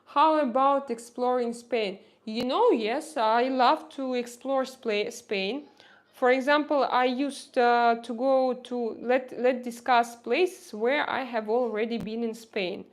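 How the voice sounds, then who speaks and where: Russian-accented woman streamer, young adult, pitched a little high, unhurried and full of pauses. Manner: halting, many "uh" fillers, reads out chat messages. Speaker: live streamer. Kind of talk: casual live-stream chat reading viewer comments.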